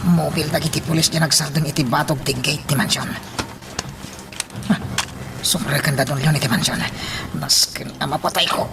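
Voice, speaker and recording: A man's voice: on the high side, steady tone, normal speed, long pauses. An actor playing a determined, furtive hired gunman muttering his plans to himself in a radio drama monologue. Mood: tense, urgent. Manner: dramatic self-talk, muttered exclamations. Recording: clear noisy ambience behind the voice.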